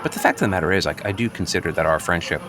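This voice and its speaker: A man's voice: sits low, racing, a crowd in the background, close to an everyday voice. An actor playing a neurotic, agitated office worker in his late thirties, wordy and hesitant, justifying himself point by point in improvised audio comedy. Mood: insistent, aggrieved. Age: late 30s. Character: neurotic, agitated office worker newly rejecting social norms.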